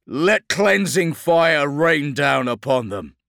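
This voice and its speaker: A fierce military captain, acted by a male audiobook reader, barking clipped orders in battle. The voice is pushed well up in pitch and kept dry and close. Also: animated, a little slow, creaky, a bit bright, dry recording, exaggerated voice. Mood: grim resolve. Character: fierce, commanding army tank captain in battle. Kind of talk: dramatic audiobook character acting.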